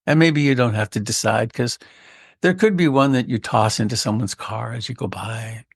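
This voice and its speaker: A man leading a guided meditation in a gentle, unhurried way with long pauses and a creaky voice. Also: medium pitch, no echo, clean studio sound. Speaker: meditation teacher.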